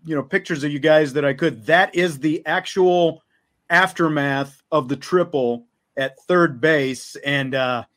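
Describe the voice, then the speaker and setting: Male sports talk host, American, slightly high voice, casual interviewing style. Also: unhurried, fairly bright tone.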